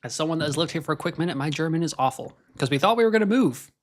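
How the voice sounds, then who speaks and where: A man's voice: on the high side, animated, very fast. A young man with an American accent, born in Scotland, hosting a casual live stream and chatting while he watches qualifying. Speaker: livestream host.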